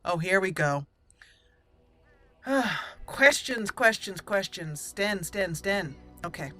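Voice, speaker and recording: A woman's voice: medium pitch, expressive, slow pace, bright, forceful tone. A woman hosting a roleplay gameplay video, chatty and friendly, laughing easily as she talks her viewers through the game. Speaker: gaming channel host. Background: game music.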